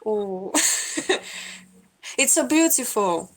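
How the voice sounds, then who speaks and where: A woman's voice: fairly high pitch, animated, extremely slow. An eighteen-year-old woman from Russia, chatting on a video call in simple, broken English and giggling often. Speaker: stranger on a video chat. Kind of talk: casual video chat.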